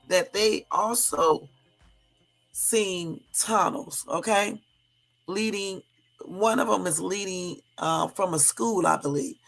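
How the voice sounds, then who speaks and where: A woman hosting a casual livestream, hoarse from a cold, chatty and often checking in with her listeners. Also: on the low side, a bit bright, poor audio. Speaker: livestream host.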